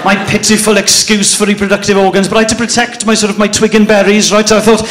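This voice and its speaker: A Welsh man whose first language is Welsh, doing a stand-up comedy set on a club stage in a deadpan storytelling manner, jumping into shrill voices when he quotes people. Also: quick pace. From Wales. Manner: deadpan storytelling with sudden high-pitched acted quotes. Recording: live club recording with audience noise.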